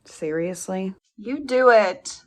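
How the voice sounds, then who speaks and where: A woman's voice: mid-range voice, lively intonation, slow. A woman acting in an audio play as a balky sibling spooked by the family's haunted house.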